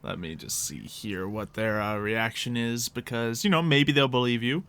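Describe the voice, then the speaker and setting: A man's voice: mid-range voice, moderate pace, fairly bright tone, clean tone. A man narrating a tabletop roleplay game as game master, casual and improvising in a jokey way.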